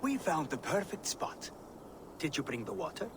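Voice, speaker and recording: An actor playing an eager builder-sorcerer in a video game, showy and self-assured. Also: male voice, on the high side, sing-song, moderate pace, gravelly, noisy background. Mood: eager, absorbed. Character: confident, eager male builder-sorcerer, a showman of runes. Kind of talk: dramatic video game acting.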